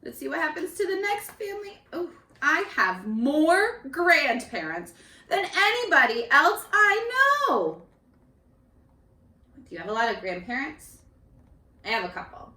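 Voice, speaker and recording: Female read-aloud teacher for young kids: highish, very animated delivery, expressive and instructive, addressing the children directly. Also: a little slow. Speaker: teacher reading a picture book aloud. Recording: echoey room, distant microphone.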